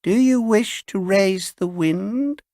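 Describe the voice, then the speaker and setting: A man voicing a thin, eerie old woman in a slow, straining, piping voice for a story reading. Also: sits high, dark tone, dry recording, studio quality, pitched above the performer's own voice. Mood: eerie, beckoning. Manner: slow, drawn-out delivery.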